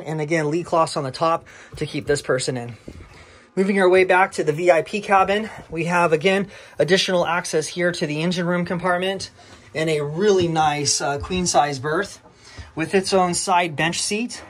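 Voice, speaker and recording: Man touring a yacht, fairly high voice. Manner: casual, lots of uh fillers, listing features. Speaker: yacht broker. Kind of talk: walkthrough tour narration. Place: inside a yacht cabin, small wooden rooms.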